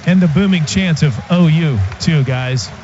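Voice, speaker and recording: A man's voice: on the high side, a little slow, darkish tone, slight background noise. A man working as a football radio sideline reporter, giving live reports to the booth. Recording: dull, muffled radio broadcast.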